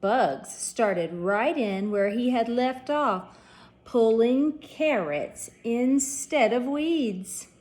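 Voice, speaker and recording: A woman P.E. teacher reading a children's storybook aloud, clear and expressive. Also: on the high side, drawn-out words.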